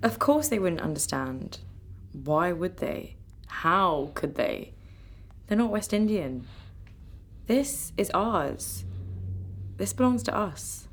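Grieving young British woman, low pitch, creaky, sceptical, matter-of-fact, naturalistic radio drama. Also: animated, takes it slowly, long pauses, slight background noise. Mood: defiant warmth. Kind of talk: naturalistic radio play acting.

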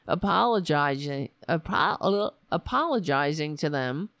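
Slow, gravelly woman hosting a talk show. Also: on the low side, dry recording. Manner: rambling, sarcastic, talks to her cat. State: hoarse, losing her voice. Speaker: political talk show host. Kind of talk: casual political commentary.

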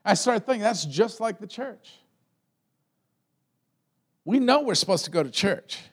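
A male pastor preaching a conversational sermon in a loud voice, often repeating words as he builds a point. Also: sits high, lively intonation, measured pace, slightly rough.

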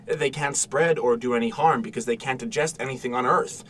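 A man playing an eager, boyish, gentle father in an audio drama, explaining things with hesitant enthusiasm. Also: medium pitch, a little fast, lightly acted. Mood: enthusiastic. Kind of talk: naturalistic audio drama acting. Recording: distant mic, noisy room sound.

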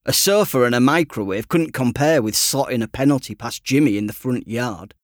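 A man reading an audiobook in the first person as a teenage boy, conversational, self-deprecating and expressive. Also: mid-range voice, brisk, no echo, studio quality. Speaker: author reading his own audiobook.

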